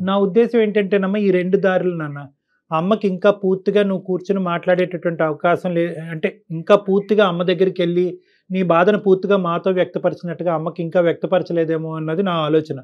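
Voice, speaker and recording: A man with a somewhat high voice gives counsel on a call-in show. He speaks very fast, explaining and listing his points with repetition. The sound is slightly dull and band-limited. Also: fairly even intonation. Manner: explanatory, repeats and lists points. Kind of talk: advisory talk to caller and co-host.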